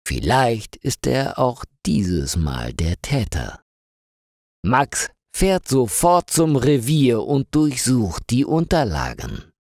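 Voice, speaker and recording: A man narrates a story in a lowish voice with a lot of rise and fall. He takes his time over each phrase, reading clearly and deliberately. The sound is dry and close. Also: darkish tone, no room sound. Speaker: story narrator for German learners. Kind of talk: slow scripted story narration.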